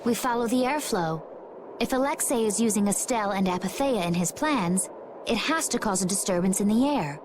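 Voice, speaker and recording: An actress voicing a composed young woman in a game cutscene, speaking calmly and evenly. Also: mid-range voice, a little fast, lightly acted. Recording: game audio with clear noise.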